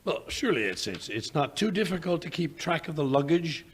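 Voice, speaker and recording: A man playing a genial, blustery English gentleman sidekick in an old-time radio drama, with a husky voice and a bumbling, stammering delivery. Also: fairly high pitch, big pitch swings, brisk, a little hiss or hum. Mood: amused, musing.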